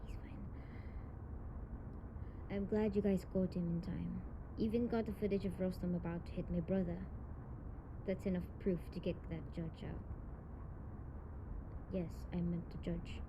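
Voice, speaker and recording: A woman's voice: fairly low pitch, level tone, measured pace, long pauses, dull audio. A woman playing a tough but tender tsundere delinquent young woman in an intimate audio roleplay, with slangy speech. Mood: concerned. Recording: noisy home recording, audible background noise.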